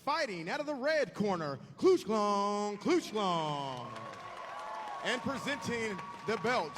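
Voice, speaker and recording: A man announcing in a fight arena, booming and drawing out the fighters' names. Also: very animated, takes it slowly, a bit bright, some chatter behind, a little hiss or hum. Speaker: ring announcer. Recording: echoey arena from far off.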